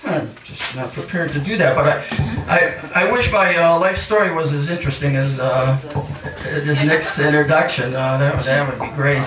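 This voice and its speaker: An older man reading poetry live and chatting between pieces with wry, mock-highbrow humour. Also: normal speed, crowd noise. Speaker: featured poet at a reading. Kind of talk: live poetry reading with casual rambling introductions. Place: indoor cafe poetry reading. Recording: echoey room, distant mic, hissy noise.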